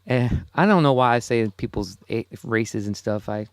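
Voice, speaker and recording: A man in his forties drifting from one thought to the next in a casual solo monologue. He chuckles often at his own remarks. His voice sits at an ordinary pitch, recorded dry and close. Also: expressive, moderate pace, clear, resonant tone, dry recording. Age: 40s. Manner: rambling, self-amused, chuckles often. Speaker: podcast host.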